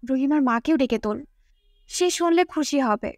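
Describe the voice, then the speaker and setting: Casting: female narrator as excitable middle-aged mother, high-pitched, girlish and gushing, audiobook storytelling, close dry sound. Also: moderate pace, dry recording. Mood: amazed, excited. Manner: breathless amazement, girlish and gushing.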